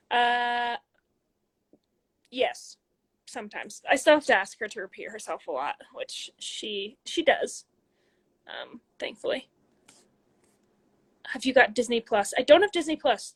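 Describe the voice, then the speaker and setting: A young woman in her twenties talks into her phone from a room at home, reading out questions from viewers and answering them one by one. Her voice sits a little on the high side, and the talk is loose and chatty, full of ums. Now and then she drops into a quiet murmur as she reads the next comment. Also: expressive, unhurried, pauses often, slightly rough. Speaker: athlete hosting a live Q&A. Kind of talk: casual live-stream chat answering viewer questions.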